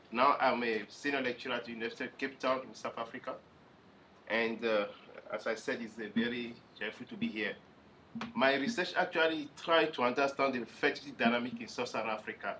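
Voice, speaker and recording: A man gives a halting self-introduction across a conference table, often restarting his phrases. His voice has a bright, forward edge, with faint hiss in the room. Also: mid-range voice, low-quality recording. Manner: halting, repeats words. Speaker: workshop participant introducing himself.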